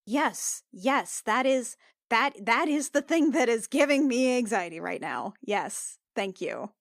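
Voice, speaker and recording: A woman playing an earnest, shaken female healer in a tabletop game, talking in a halting, insistent, anxious way. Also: fairly high pitch, sing-song, vocal fry, no echo, clean studio sound, voice pushed up, exaggerated voice.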